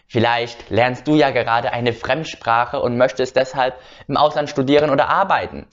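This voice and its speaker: A man's voice: medium pitch, normal speed. A young man from Germany hosting his own channel, telling a personal story casually and hesitantly, with frequent filler sounds and restarts. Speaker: channel host. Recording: slightly dull lo-fi sound.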